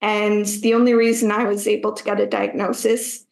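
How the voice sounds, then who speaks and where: A woman in her thirties with a Canadian accent answers interview questions at a middle pitch. She explains things carefully, pausing to find her words. Her voice carries a noticeable room echo. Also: level tone, vocal fry, a little mellow. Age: 30s. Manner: measured, explains carefully with some hesitations. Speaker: guest, writer and public speaker.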